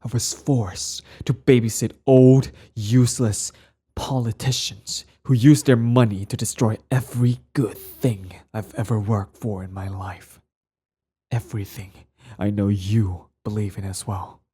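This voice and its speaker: A brooding ex-hero villain, acted by a man, speaks in a low, dark, husky voice. He is bitter yet devoted, measured and intense, with dramatic pauses suited to an intimate ASMR roleplay. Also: steady tone. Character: cold former-hero villain, earnest, bitter, devoted to partner.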